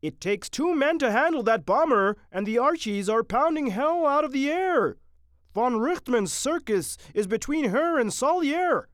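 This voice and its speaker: Anxious wartime sergeant for storybook played by a narrator: deferential, urgent, pitched high, loud delivery. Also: animated, normal speed, hardly any pauses, clean tone, no room sound, clean studio sound. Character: anxious deferential wartime army sergeant, admiring his captain. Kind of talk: storybook character reading.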